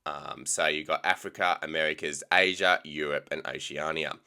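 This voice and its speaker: A young Australian man with an Australian accent, casually talking through a software tutorial with frequent ums. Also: deep voice, clean tone. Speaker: tech tutorial host. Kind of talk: screen-recorded software tutorial.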